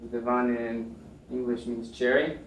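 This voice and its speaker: A man's voice: medium pitch, level tone, unhurried. American young man talking in an echoey room. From United States. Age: young adult. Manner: rambling, many fillers and restarts. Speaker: panel speaker. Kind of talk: casual storytelling talk. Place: lecture room. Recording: echoey room, mic far off, faint noise.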